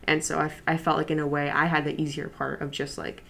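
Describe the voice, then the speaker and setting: A high school senior girl whose first language is Polish, chatting easily in an interview with many fillers and light laughs. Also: fast talker, gravelly. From New York City, USA. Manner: relaxed, chatty, frequent fillers and soft laughs. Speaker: interview guest, student. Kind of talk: casual interview.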